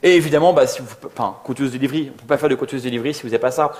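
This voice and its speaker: Fast-talking man, informal tech speaker. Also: medium pitch, a little mellow. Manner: joking, colloquial, self-deprecating. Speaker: conference speaker, software architect. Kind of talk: informal co-presented tech talk. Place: live talk before an audience.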